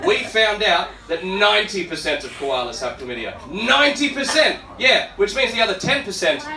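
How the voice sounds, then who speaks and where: High-voiced Australian male stand-up, echoey room. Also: big pitch swings, brisk, bright, forceful tone. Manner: informal, sweary, chatty asides to the crowd. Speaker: stand-up comedian. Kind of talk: stand-up comedy routine to an audience. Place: live comedy venue with an audience. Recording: echoey, noisy room, distant microphone.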